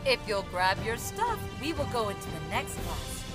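An actor playing an excitable, dramatic instructor at an adventure academy in an audio drama. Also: medium pitch, normal speed, natural voice. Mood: brisk, instructive. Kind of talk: audio drama teaser acting. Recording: music under the voice. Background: music.

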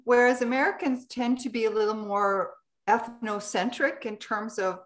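A woman's voice: on the high side, fairly even intonation, normal speed, fairly bright tone. A woman, answering interview questions in a conversational way. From Canada. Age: 60s. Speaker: interview guest, health and lifestyle advocate.

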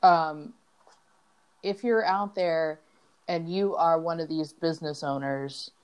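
A woman's voice: sits low, steady tone, slow, clear, resonant tone. Giggly, earnest woman podcast co-host. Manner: laughs often, earnest. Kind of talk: casual chat.

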